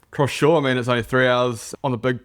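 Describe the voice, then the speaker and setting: Kiwi bloke, talks fast, smooth clear voice, rugby coach on a podcast, lots of yeah. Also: male voice, mid-range voice, steady tone. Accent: New Zealand. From New Zealand. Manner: laid-back, many "yeah" fillers. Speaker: rugby union representative and coach. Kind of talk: casual podcast chat. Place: office.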